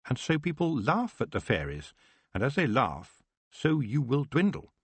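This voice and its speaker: Young witch girl, played by a man: resolute, defiant, emphatic, raised pitch, fantasy audiobook. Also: huge pitch swings, moderate pace, slightly rough, dry recording, heavily acted. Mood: scornful, resolute. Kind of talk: audiobook character voice.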